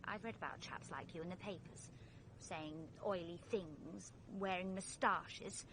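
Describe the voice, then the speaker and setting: An actress playing a brisk, forthright young English heroine in a vintage radio drama. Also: female voice, on the low side, a bit bright, slight background noise, low-quality recording. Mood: brisk, scolding. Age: young adult.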